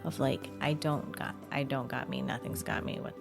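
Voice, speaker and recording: A woman co-hosting a podcast, gently guiding and asking curious questions in casual chat. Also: extremely low pitch, fairly even intonation, normal speed, creaky, over music. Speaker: podcast co-host, coach.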